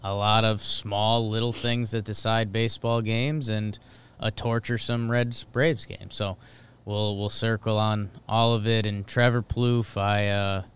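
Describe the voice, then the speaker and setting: Male co-host, low and very resonant, easygoing, slangy emphasis. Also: steady tone, measured pace, clear, resonant tone. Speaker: podcast co-host. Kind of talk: casual sports chat. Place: studio.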